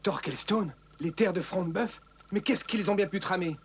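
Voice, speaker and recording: An actor playing a brave, earnest young Saxon knight with a dramatic delivery in a French cartoon dub. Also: male voice, sits high, moderate pace, slight background noise, close to an everyday voice. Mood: determined.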